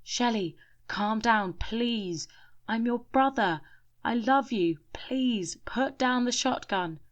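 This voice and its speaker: A woman voicing a desperate male police officer in a spoken story, pleading and loud. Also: fairly high pitch, expressive, takes it slowly, highs cut off, pitched above the performer's own voice. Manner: pleading, then furious.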